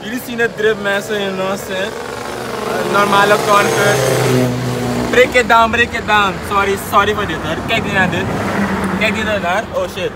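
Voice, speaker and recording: A man's voice: high voice, fairly even intonation, normal speed, heavy background noise. A young man hosting a casual street interview vlog outdoors, joking and hyped as he talks into a phone held at arm's length. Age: young adult. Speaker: vlog host interviewing people. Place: outdoors on city streets and terraces. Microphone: handheld phone at arm's length.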